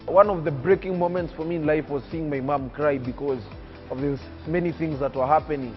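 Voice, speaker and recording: Casting: 19-year-old man from Kenya, high voice, preacher-like cadence, dull TV sound with faint music. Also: steady tone, fairly quick. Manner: oratorical, emphatic, preacher-like cadence. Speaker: young public speaker interviewed on TV. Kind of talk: earnest personal storytelling.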